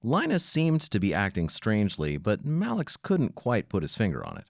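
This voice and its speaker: A man's voice: on the low side, wildly swooping pitch, moderate pace, creaky, no echo, studio quality. A man hosting and narrating a comic audio drama in scripted style. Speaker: host and narrator. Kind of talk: scripted story narration and announcements.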